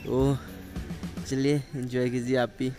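A man's voice: mid-range voice, little pitch movement, slow, dark tone. A man in his twenties chatting casually as a vlogger, recorded outdoors. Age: 20s. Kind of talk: casual vlog chat. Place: outdoors by a lake. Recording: music behind the voice. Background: music.

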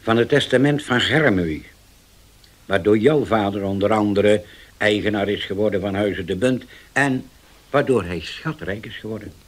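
An actor playing a solemn older uncle in a 1970s radio drama, speaking slowly and deliberately with a husky voice that sinks to near-whispers. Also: male voice, lowish voice, natural voice. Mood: grave. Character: old uncle, formerly dangerous, now solemn and changed.

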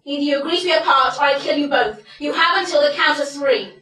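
A woman's voice: sits high, fast, pushed and bright. A young woman acting an imperious, controlling play director with a commanding manner on stage. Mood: domineering. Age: young adult. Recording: very echoey theatre, distant camera, faint music.

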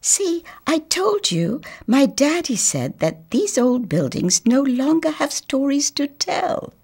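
Woman putting on a high-pitched, doubtful little boy. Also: big pitch swings, slow, darkish tone, full character voice. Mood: smug. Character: doubtful little boy, shrugging and matter-of-fact. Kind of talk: children's storybook read-aloud.

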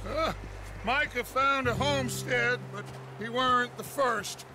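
An actor playing a commanding, fatherly outlaw gang leader in a Western video game, deliberate, courteous and persuasive, reassuring yet steely. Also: very high voice, over music, low-quality recording. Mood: weary, commanding.

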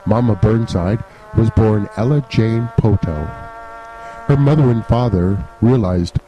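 A man's voice: fairly low pitch, unhurried, lo-fi sound, music in the background. A man reading scripted mock-documentary narration in a formal, grandiose storytelling manner.

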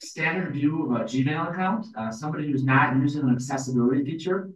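A man giving a quick, plain live demonstration of software, with fillers between phrases. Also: on the high side. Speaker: guest demonstrator. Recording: roomy, distant from the mic.

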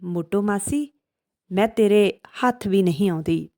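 A woman's voice: medium pitch, a little slow, a little mellow, close to an everyday voice. A woman voicing a boastful, taunting runaway piece of fried bread in a children's storybook tale.

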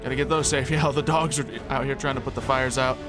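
A man improvising calmly in a Minecraft roleplay, focused on solving problems. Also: medium pitch, fast talker. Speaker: player in a roleplay game. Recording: music behind the voice.

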